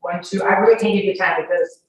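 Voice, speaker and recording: A woman's voice: lowish voice, steady tone, quick pace. Emphatic woman testifying in a very echoey room. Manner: emphatic, repeats denials. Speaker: witness testifying in court. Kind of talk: answering questions under examination. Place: indoor courtroom. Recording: echoey, distant mic, faint noise.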